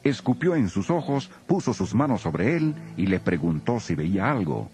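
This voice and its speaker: Deep, gravelly, expressive male Bible narrator. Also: moderate pace. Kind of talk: scripted dramatized Bible narration. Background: soft music.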